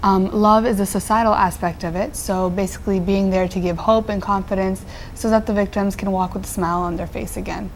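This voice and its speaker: A woman's voice: mid-range voice, fairly even intonation, brisk, a little hiss or hum, rough recording. A teenage girl from Nepal, a nonprofit founder answering interview questions earnestly, with frequent fillers and restarts. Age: teens.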